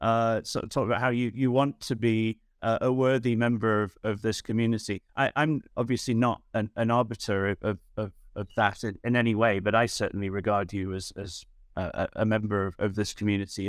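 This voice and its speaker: A man's voice: fairly low pitch, fairly even intonation, a little fast, dry recording. A man hosts a podcast interview, often repeating small words as he finds his phrasing. The recording is dry and close, with no room sound. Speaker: podcast host. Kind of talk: casual interview chat.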